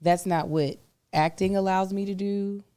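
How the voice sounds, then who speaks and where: A woman podcast co-host talking casually with a hoarse voice, candid and exasperated. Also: mid-range voice, steady tone, moderate pace, warm tone, dry recording. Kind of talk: casual chat.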